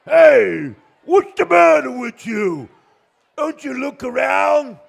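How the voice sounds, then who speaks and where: A man playing a hot-tempered motorist in a told story, agitated, incredulous and yelling to be heard. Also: very high-pitched, animated, measured pace, a faint crowd, voice pushed up, full character voice. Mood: exasperated, angry.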